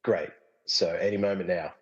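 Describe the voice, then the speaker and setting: A man playing an easygoing, casually explaining film lover trapped in a movie, in a comedy radio play, with a husky voice and long reverb. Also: deep voice, fairly even intonation, normal speed, muffled recording. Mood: mildly puzzled. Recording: long reverberant hall echo.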